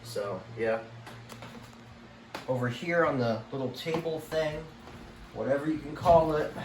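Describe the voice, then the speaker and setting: Halting man over clear background noise. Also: a little high, reverberant. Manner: halting, unscripted, trailing off. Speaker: collector presenting a home video tour. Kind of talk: casual show-and-tell vlog. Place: room at home among many radios. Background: weather radio broadcast playing.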